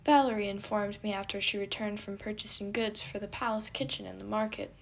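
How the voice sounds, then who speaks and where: A woman voicing a logical, bookish teenage adviser, deadpan and formal with wry wit, in a storybook reading. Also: mid-range voice, brisk, gravelly. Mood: smirking. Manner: deadpan, formal, precise wording.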